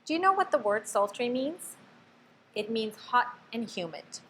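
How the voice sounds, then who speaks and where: A woman reading a children's picture book aloud, expressive, stopping to ask the listener questions. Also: on the high side, normal speed, slight background noise, poor audio. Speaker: storybook reader.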